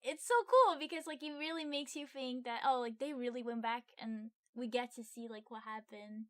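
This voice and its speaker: A woman's voice: on the high side, steady tone, normal speed, no room sound, studio quality. A woman co-hosting a podcast, chatting casually about films in an informal, agreeable way. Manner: informal, agreeable, frequent filler "like". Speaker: podcast co-host. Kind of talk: casual film discussion.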